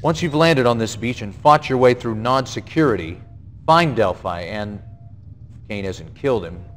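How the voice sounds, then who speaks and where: A man's voice: medium pitch, lively intonation, normal speed, fairly bright tone, soft background music, natural voice. An actor playing a stern, confident male military general, giving an authoritative, plain-spoken mission briefing in a video game. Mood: grave, urgent. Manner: authoritative, colloquial. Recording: long reverb, faint noise.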